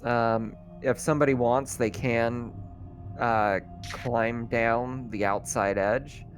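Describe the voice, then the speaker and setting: A man's voice: mid-range voice, fairly even intonation, slow pace, faint music behind. A man running a tabletop roleplaying game as game master, narrating off the cuff in a casual, conversational way.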